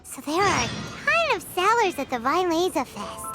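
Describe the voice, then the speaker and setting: A little floating fairy companion from a video game, with an extremely high, childlike squeak. She is chirpy, blunt and excitable. Music plays clearly underneath. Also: moderate pace, exaggerated voice. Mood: cheerful. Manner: refers to self in third person, chirpy, cheeky.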